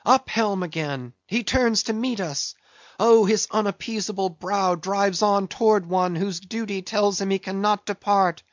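A man's voice: sits high, measured pace, pitched above the performer's own voice. A man voicing a devout, despairing ship's mate with pleading exclamations in an audiobook. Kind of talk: dramatic audiobook character acting. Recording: roomy, slightly dull recording.

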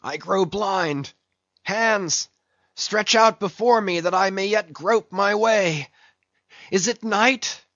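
A man's voice: high voice, slow pace, a bit bright, voice pushed up, exaggerated voice. A man voicing an obsessed, anguished whaling captain in a declamatory audiobook style. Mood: anguished, groping. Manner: declamatory, crying out. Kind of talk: dramatic audiobook character acting. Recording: roomy, slightly dull recording.

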